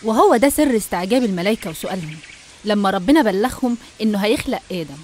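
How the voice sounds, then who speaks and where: A woman's voice: medium pitch, animated, racing, darkish tone, a little hiss or hum. A woman with an Egyptian accent narrating a scripted religious story in a didactic way, speaking straight to the listener. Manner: didactic, addressing the listener directly.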